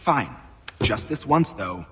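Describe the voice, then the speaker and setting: An actor playing a grudging, wary colonial printer with a formal, reluctant manner in a video game cutscene. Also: male voice, mid-range voice, very animated, slow pace. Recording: reverberant hall, clear background noise.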